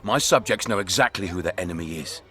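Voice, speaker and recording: An actor playing a menacing, self-important town commander in a fantasy video game, pompous and mocking. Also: male voice, low-pitched, fast talker, bright, forceful tone. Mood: cold, threatening. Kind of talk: cinematic fantasy game acting.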